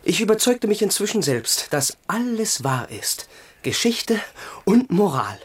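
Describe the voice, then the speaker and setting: An actor playing a bitter, cultured young crown prince in a radio play, declaiming with theatrical irony. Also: male voice, sits high, big pitch swings, unhurried, slightly rough, heavily acted. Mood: biting sarcasm. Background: music.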